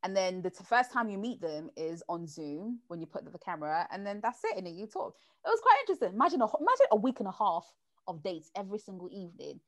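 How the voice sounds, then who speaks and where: A woman's voice: mid-range voice, fast talker. A woman chatting casually and telling dating stories, acting out what people said. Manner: lively, acts out quotes. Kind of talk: casual chat, storytelling.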